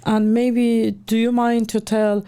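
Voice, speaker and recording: A woman hosting an interview, asking questions gently and encouragingly with halting phrasing. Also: on the high side, flat delivery, clean tone. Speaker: interview host.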